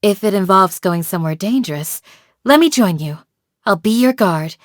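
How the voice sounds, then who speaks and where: A woman playing a calm, devoted village guardian in a video game, speaking in a measured, formal, gently solemn way. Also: mid-range voice, no room sound, studio quality, natural voice. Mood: kindly. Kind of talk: scripted game character voice lines.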